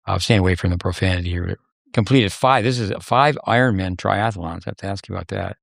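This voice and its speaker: Podcast host guy, low gravelly voice, kinda jokey and folksy, dry sound. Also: dry recording. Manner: joking, folksy, drawn-out filler. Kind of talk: casual interview hosting.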